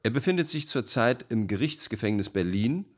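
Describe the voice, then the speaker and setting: A man's voice: medium pitch, lively intonation, normal speed. A man delivering scripted documentary narration for a radio play, reading reports in a formal, precise way.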